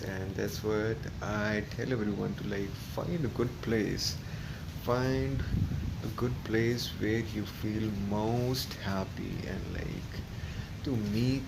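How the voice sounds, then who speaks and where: Low, creaky-voiced Indian man, slow and halting. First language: Hindi. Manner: halting delivery in short phrases, frequent "like". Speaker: yoga vlogger. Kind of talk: casual, rambling voice-over. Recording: strong background noise throughout.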